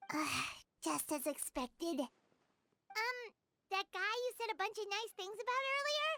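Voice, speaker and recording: Squeaky tiny fairy girl companion. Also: lively intonation, a little slow, gravelly, bright, forceful tone, no echo, exaggerated voice. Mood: puzzled, earnest. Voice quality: squeaky, childlike. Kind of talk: video game voice acting.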